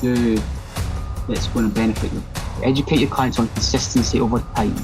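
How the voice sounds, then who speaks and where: A man's voice: mid-range voice, steady tone, a little fast, music in the background. A man from northeast Scotland with a Scottish accent, explaining things conversationally as a podcast guest and fitness trainer.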